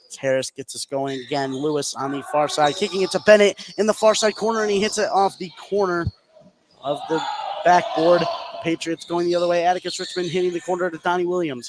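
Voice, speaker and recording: An American man calling live basketball play-by-play for radio from a gym. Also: sits high. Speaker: play-by-play commentator. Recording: noisy live gym broadcast.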